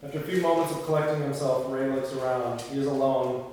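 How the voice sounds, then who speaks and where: A man's voice: mid-range voice, steady tone, fast, a little mellow. Echoey, distant man reading a script. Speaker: table-read narrator reading stage directions, production lead. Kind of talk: scripted narration of screenplay, with casual discussion. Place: reverberant room at a group table read. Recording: echoey hall, distant mic, faint noise.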